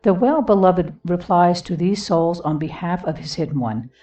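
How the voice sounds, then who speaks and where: A woman reading a devotional book aloud expressively, in a solemn, earnest manner with deliberate phrasing. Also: fairly low pitch, dark tone, recorded from a distance, lo-fi sound. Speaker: audiobook reader. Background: music.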